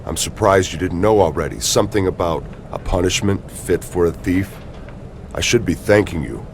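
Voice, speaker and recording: Cold curt wrestler, really low voice, taunting, little noise behind him. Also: male voice, normal speed. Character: cold, menacing male rival pro wrestler. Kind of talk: scripted video game cutscene acting.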